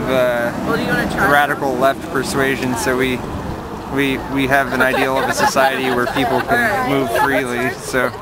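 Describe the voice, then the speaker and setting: A man at an outdoor rally answering interview questions, halting and restarting as he talks. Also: mid-range voice. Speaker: activist serving food at a rally. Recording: outdoor rally with loud crowd.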